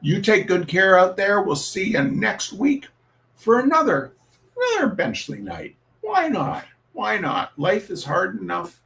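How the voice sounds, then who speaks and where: Male host, high voice with some fry, theatrical and emphatic, for comic readings and informal audience chat. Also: huge pitch swings, measured pace, fairly bright tone. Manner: showman-like, emphatic, repeats phrases. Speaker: host reading humour pieces.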